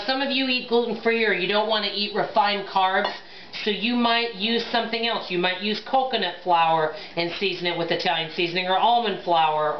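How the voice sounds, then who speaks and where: A woman's voice: mid-range voice, lo-fi sound. Woman, middle-aged, chatty home cook, talks to viewers, echoey kitchen, camera mic across the counter, faint room hum. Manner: chatty, addresses viewers as "guys" and "y'all", thinks aloud while working. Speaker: cooking vlogger. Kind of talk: casual cook-along chat to camera.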